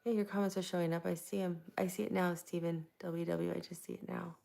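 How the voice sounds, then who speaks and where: Woman from Tampa hosting a live stream: soft-spoken, low and heavily gravelly, chatting easily with viewers. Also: level tone, fast. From Tampa, Florida. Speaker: livestream host. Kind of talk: casual live chat answering viewers. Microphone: plugged-in microphone.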